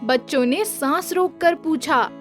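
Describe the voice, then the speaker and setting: Casting: female children's-story narrator, high voice, unhurried delivery, soft music bed.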